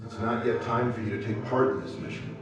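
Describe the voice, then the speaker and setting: A man's voice: mid-range voice, little pitch movement, a little fast, close to an everyday voice. A man voicing a firm, authoritative father and leader in a video game. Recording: muffled, very echoey, distant, over music.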